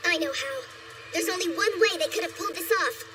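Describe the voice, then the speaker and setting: An actress playing an earnest, observant young army strategist in an animated war drama, explaining things with urgency. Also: female voice, extremely high pitch, a little fast, distant mic, noisy background. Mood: alert. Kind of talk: English-dubbed animated war drama acting.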